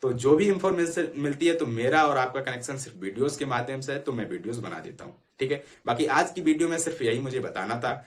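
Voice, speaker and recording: A man's voice: mid-range voice, expressive, quick pace, few pauses. A man explaining things informally to students as an online exam-prep educator, urging them directly and often checking that they follow. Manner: repeatedly checks understanding with short tag phrases.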